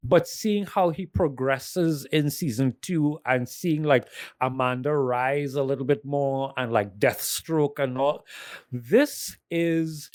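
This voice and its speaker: A man's voice: medium pitch, slow pace, darkish tone, no room sound, studio quality. A man giving a casual, earnest, emphatic review on a podcast. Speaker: podcast panelist.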